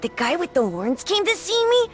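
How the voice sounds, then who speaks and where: Very high, eager little child. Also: female voice, sing-song, moderate pace, faint music behind. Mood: eager, bright. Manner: eager, guileless. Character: excitable, innocent small child who loves snacks. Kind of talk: anime-style game dialogue.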